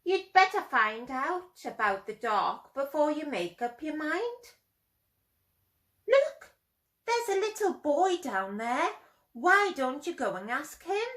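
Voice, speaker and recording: Woman as a coaxing, high-voiced mother owl. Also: sing-song, slow, fairly bright tone, exaggerated voice. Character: patient, encouraging mother barn owl.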